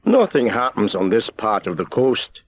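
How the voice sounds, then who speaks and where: A man voicing an excitable, giggly, self-important lighthouse keeper in a children's storybook, with flustered, exclamatory delivery. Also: lowish voice, moderate pace. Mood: bored. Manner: exclamatory, flustered, over-eager. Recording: hissy old cassette transfer.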